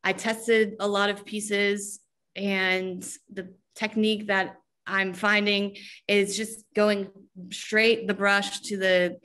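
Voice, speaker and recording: A young woman designer on a video call from home, talking slowly and searching for words with frequent restarts. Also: medium pitch, flat delivery, fairly bright tone. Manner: slow, searching, with many restarts. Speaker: fashion designer. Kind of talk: relaxed video call talk. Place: home room with painted backdrop.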